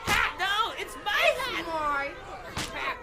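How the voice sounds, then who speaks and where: Super high whiny bickering camp survivor, loud crowd all round. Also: female voice, sing-song, slow, over music, exaggerated voice. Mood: whining, squabbling. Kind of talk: audio drama acting. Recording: very noisy crowd scene.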